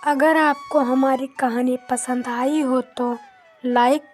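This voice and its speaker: A woman's voice: sits high, fairly even intonation, slow pace, slight background noise. A woman narrating a scripted moral folk tale as a storyteller.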